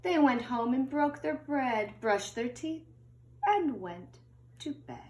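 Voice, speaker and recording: A middle-aged woman reading a picture book aloud to young children in an expressive singsong way, recorded in a room at home. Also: high-pitched. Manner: slow, deliberate, singsong storytelling addressed to children. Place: indoors upstairs at home. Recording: roomy home recording with echo.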